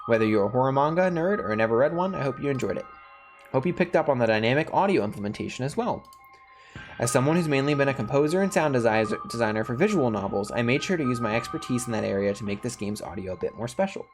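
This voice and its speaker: A man's voice: mid-range voice, lively intonation, fast. Man, gaming host, mid-range voice, reading story text aloud, casual commentary, music underneath.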